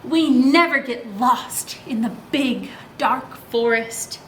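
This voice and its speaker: A woman's voice: fairly high pitch, takes it slowly. A woman voicing a band of tiny, boastful, bossy forest creatures in a children's picture book, with proud, emphatic declarations. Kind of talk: picture book read-aloud for young children. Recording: roomy echo, faint background noise.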